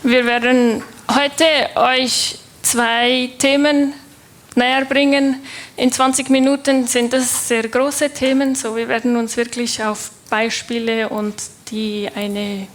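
Young Swiss woman, conference presenter; higher voice, slow, hesitant delivery with fillers. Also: level tone. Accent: Swiss German. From Switzerland. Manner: hesitant, many filler sounds and restarts. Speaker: conference speaker, developer. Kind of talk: technical conference talk.

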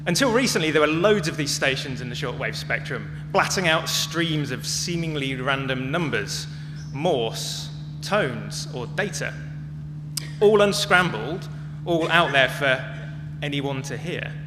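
A man's voice: on the high side, animated, measured pace. A man speaking as a conference presenter, explaining things in a prepared public talk. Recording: echoey hall with clear hum and noise.

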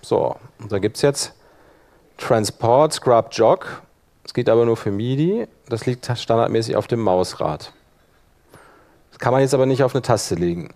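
A man's voice: medium pitch, moderate pace, darkish tone. A man presenting a workshop in an informal tutorial style, thinking aloud as he demonstrates step by step.

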